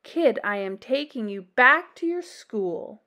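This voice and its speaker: Storybook policeman voiced by a woman: brisk, blunt, animated. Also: a little high, heavily acted. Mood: brisk, commanding. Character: brisk male police officer.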